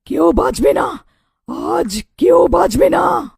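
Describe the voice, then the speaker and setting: A woman's voice: very high-pitched, animated, takes it slowly, vocal fry, darkish tone, dry recording, studio quality, heavily acted. A woman voicing a bloodthirsty, menacing witch in a cartoon, with a threatening, taunting manner.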